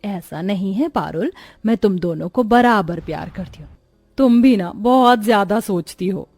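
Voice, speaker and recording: A woman voicing a gentle, worrying mother from a poor village in a cartoon story. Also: mid-range voice, lively intonation, measured pace, no room sound, soft background music. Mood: tender. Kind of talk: Hindi cartoon dialogue.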